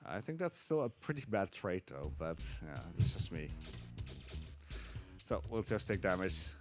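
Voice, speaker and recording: A man's voice: deep voice, sing-song, measured pace, music in the background. A man with a Dutch accent giving casual live commentary on his speedrun, explaining as he plays.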